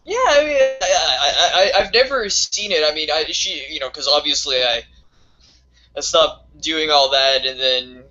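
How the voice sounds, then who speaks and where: A man's voice: a little high, unhurried, pushed and bright, muffled recording. A man whose first language is Lithuanian, chatting casually as a guest, stammering through restarts and laughing as he talks. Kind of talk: casual chat.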